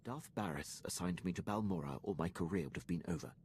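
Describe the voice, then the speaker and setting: An actor playing a formal, dutiful imperial starship captain in a video game, with stiff, deferential and precise military diction. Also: male voice, deep voice, fast talker, a little mellow, slight background noise, close to an everyday voice. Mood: earnest, restrained. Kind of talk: scripted video game dialogue.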